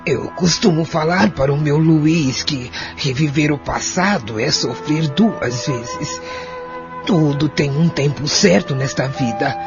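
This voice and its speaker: Man as consoling old woman, calm, gently preachy, higher-pitched, audio drama, music behind. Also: takes it slowly, exaggerated voice. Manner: gentle moralising. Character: calm, devout, consoling elderly woman, a patient's wife. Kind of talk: audio soap opera acting. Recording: lo-fi audio under clear music.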